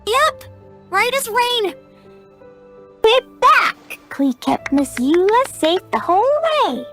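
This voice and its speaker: A woman's voice: very high voice, very animated, slow, fairly bright tone, soft background music, exaggerated voice. A woman playing a perky, upbeat, encouraging young female scout in a video game.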